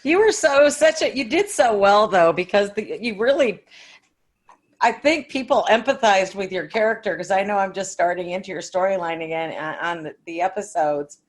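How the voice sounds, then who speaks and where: A woman's voice: medium pitch, lively intonation, moderate pace. An older woman co-hosting in casual conversation, effusive and admiring as she talks. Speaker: podcast co-host.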